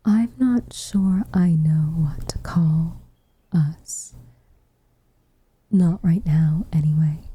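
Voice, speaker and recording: A woman playing a reserved, tenderly affectionate witch in a whispered ASMR roleplay, with soft breathy delivery and measured, formal phrasing. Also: low voice, no echo, heavily acted. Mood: tender, shy.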